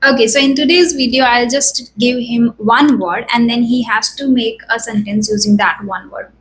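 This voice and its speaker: Female tutor, higher pitch, crisp instructive manner. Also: fairly even intonation, normal speed, poor audio. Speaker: English tutor. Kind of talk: guiding a speaking exercise.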